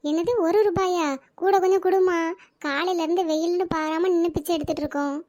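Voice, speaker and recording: A woman's voice: very high voice, steady tone, brisk, a little mellow. A performer voicing a scheming young female fake beggar in a cartoon, talking in a cocky, wheedling way. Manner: cocky, wheedling begging chant. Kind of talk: cartoon voice acting.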